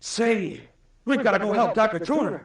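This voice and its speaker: A man playing an earnest, excitable young hero in an old-time radio horror drama. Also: high-pitched, normal speed, roomy sound. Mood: anxious. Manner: urgent, excitable. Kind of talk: 1940s radio horror acting.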